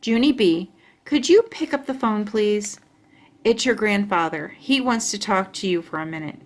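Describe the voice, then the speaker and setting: A woman voicing a caring, patient mother in a storybook, coaxing and questioning gently. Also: mid-range voice, expressive, moderate pace, natural voice. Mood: reassuring, coaxing.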